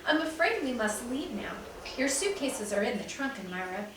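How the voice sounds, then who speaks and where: A woman's voice: a little high, sing-song, moderate pace, background noise. A woman voicing a solemn, courteous father thanking the children and taking his daughter home. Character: solemn, friendly diplomat father. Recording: echoey room, mic at a distance.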